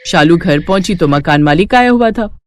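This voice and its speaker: A woman's voice: on the low side, lively intonation, moderate pace, slight background noise. A woman narrating a scripted cartoon family story.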